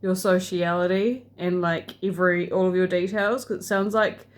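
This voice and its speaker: A woman's voice: fairly low pitch, steady tone, normal speed. A woman with a New Zealand accent, rambling casually to camera in a vlog, with many ums and restarts. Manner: hesitant, many fillers and restarts. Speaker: vlogger.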